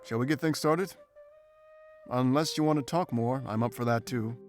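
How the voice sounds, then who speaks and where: A man's voice: medium pitch, normal speed, a little mellow, faint music behind, lightly acted. A man voicing a confident, teasing male beast-folk rogue in a visual novel game, with a wry, smug manner. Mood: wry, composed.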